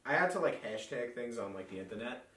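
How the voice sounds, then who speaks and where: A man's voice: medium pitch, expressive, fast, fairly bright tone, echoey room, distant mic. A young man with a New York accent, hosting a podcast and joking around in casual, rambling chat. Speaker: podcast host.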